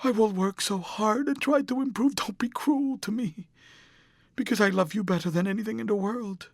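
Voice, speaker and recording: A man's voice: sits high, normal speed, dry recording, studio quality, voice pushed up. A male narrator voicing a heartbroken young actress who pleads tearfully with her lover. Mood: pleading.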